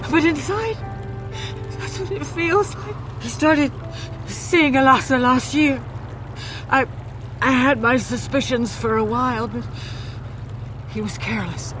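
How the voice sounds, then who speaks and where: An actress playing an older, anguished but loyal betrayed wife in a video game, pleading and halting. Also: female voice, sits high, music in the background, low-quality recording. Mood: bitter, hurt.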